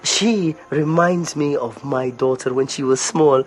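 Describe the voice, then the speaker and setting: An actor playing a callous slave merchant in an audio drama, coaxing and polite with menace underneath. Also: male voice, mid-range voice, measured pace, faint music behind, natural voice. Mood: playful, coaxing. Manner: casual, coaxing politeness laced with threat.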